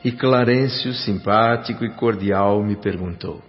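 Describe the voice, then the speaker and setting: A man giving slow, reflective first-person narration for a radio drama. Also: fairly low pitch. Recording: dull radio drama audio with faint music.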